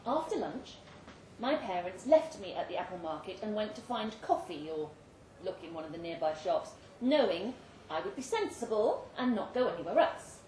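A British woman reading a literary short story aloud at a live event, with expressive, measured and dramatic phrasing. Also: mid-range voice. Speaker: story reader. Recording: roomy live venue with faint background noise.

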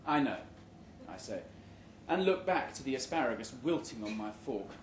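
A man reading a short story aloud at a live event with a deadpan, measured comic delivery. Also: medium pitch. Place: indoor room at a live literary event. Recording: roomy live venue recording with background noise.

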